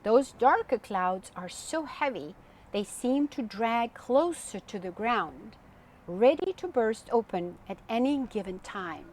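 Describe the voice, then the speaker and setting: A woman voicing a kindly, knowledgeable storybook uncle who explains things patiently and deliberately. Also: on the high side, lively intonation, darkish tone, slight background noise. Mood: patient, instructive.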